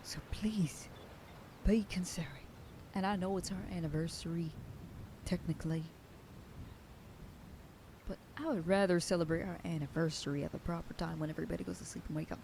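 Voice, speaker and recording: A woman playing a protective werewolf sheriff girlfriend in an ASMR roleplay, scolding the listener and dropping into soft whispers. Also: lowish voice, fairly even intonation, moderate pace, slight background noise. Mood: tender. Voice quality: soft whisper in quieter passages. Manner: scolding, then softening into tender whispers. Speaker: voice actor in an audio roleplay.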